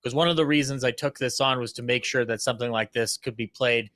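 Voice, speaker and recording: Bright-voiced man hosting an enthusiastic chat. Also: mid-range voice, steady tone, fast, dry recording, clean studio sound. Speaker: host and producer of a radio show recreation. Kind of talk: casual panel chat.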